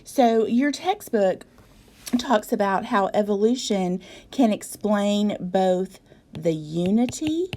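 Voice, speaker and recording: A woman from the South, a biology instructor giving a recorded video lecture in a voice hoarse from a cold, measured and explanatory with frequent ums. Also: mid-range voice, expressive, mellow, a little hiss or hum. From American South.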